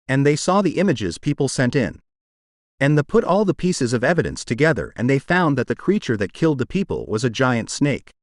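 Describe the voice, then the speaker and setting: A man's voice: medium pitch, very animated, fairly quick, no echo, studio quality. A man delivering scripted emergency alert narration as a broadcast announcer.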